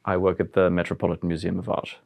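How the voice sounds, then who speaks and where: Man of about forty, very low voice, gravelly fry, from France, thoughtful interview answers. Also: monotone, fast, a little mellow. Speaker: museum curator. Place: historic house, indoors.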